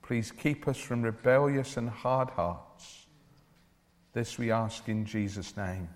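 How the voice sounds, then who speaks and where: A man preaching a church sermon in an earnest, deliberate way, with declarative phrasing. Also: medium pitch. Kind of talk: evangelical church sermon with Bible reading and prayer. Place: large church or hall, speaking to a congregation. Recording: reverberant hall, long echo.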